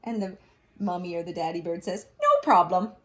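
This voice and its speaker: A woman's voice: fairly low pitch, steady tone, fairly quick, dark tone. A woman teacher reading a picture book aloud to young children, expressively, with asides and questions.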